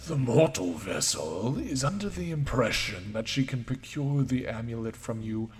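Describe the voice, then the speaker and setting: Menacing possessed crone a man does it, deep echoing whisper, storybook villain. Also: lively intonation, normal speed, soft background music, lightly acted. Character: possessed old woman, menacing, hissing, otherworldly.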